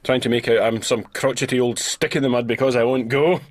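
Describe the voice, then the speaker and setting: An actor in a radio drama playing a reserved young Scottish country doctor, curt and easily indignant, with a husky voice. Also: male voice, medium pitch, fairly even intonation, brisk, close to an everyday voice. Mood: prickly, indignant.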